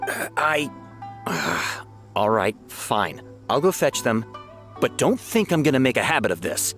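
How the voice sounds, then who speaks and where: An actor playing a cautious but soft-hearted town officer in a video game, talking in a hesitant, conversational manner. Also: male voice, medium pitch, sing-song, measured pace, faint music behind. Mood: reluctant.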